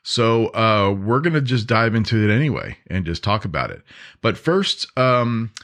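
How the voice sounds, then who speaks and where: A man's voice: fairly low pitch, big pitch swings, moderate pace, fairly bright tone. A man hosting a podcast in a casual, easygoing and informal conversational style. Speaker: podcast host.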